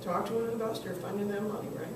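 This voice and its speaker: A woman's voice: medium pitch, steady tone, fast talker. A woman consultant teaching a business workshop, chatty and asking the class questions, while losing her voice. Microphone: far camera. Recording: echoey room from far off, noisy.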